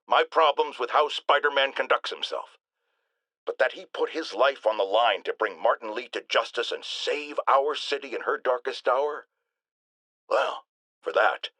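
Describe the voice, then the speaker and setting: An actor playing a cranky, self-important middle-aged New talk-radio host in a video game, gravelly and bombastic, ranting theatrically with great indignation. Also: male voice, high-pitched, sharp tone, no room sound, heavily acted, poor audio. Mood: grave announcing.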